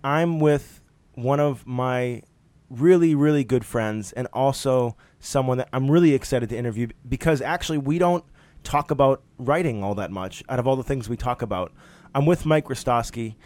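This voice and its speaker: A man's voice: mid-range voice, normal speed, a little mellow. A man hosting a podcast, introducing his guest and asking questions in a friendly, chatty way. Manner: friendly, chatty, laughs along. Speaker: podcast host. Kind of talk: casual interview hosting.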